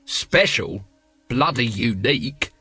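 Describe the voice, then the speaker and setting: A man acts a middle-aged watch captain in a comic storybook. He is sardonic and curt, with a mid-pitched voice that swings sharply in exasperation. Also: expressive, takes it slowly, sharp tone, husky, soft background music, exaggerated voice. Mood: incredulous. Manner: curt, snapping, weary sarcasm.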